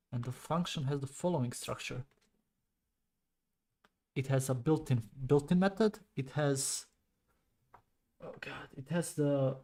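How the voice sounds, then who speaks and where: A man thinking aloud while debugging code on a stream, halting and muttering to himself, often trailing off. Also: a little high, darkish tone. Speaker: programming streamer.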